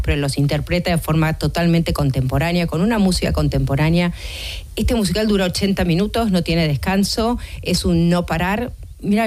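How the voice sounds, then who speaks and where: Low-pitched woman, emphatic interview guest. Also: darkish tone. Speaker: musical theatre producer interviewed as a guest. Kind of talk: enthusiastic interview talk.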